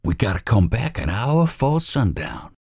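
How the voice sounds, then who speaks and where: A man voicing a tough, irritable farmhand who talks gruffly. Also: on the low side, sing-song, unhurried, darkish tone, no echo, studio quality, voice pushed up, exaggerated voice. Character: tough male farmhand, irritable and hard-edged.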